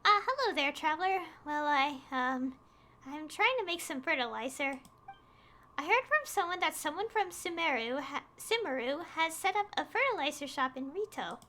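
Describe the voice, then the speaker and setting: Girl streamer voicing a puzzled earnest farmer npc, high n bright, kinda halting, game dialogue. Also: lively intonation. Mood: hesitant. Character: puzzled, earnest farmer villager man tinkering with homemade fertilizer.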